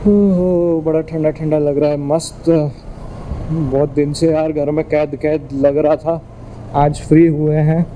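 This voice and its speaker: A man's voice: fairly high pitch, little pitch movement, a little slow, warm tone, dull audio. A young man with an Indian accent chats informally as a motovlogger, mixing in English while he rides a motorbike outdoors. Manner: informal, chatty. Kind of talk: casual vlog chat while riding, Hindi mixed with English. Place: outdoors, riding a motorbike on village roads. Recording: clear vehicle and road noise. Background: engine and road noise.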